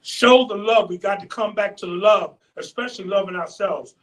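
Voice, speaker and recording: A man, speaking as a panelist in a loud, preacherly way and repeating phrases for emphasis, picked up from a distance. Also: high-pitched, moderate pace. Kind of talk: impassioned community panel speech.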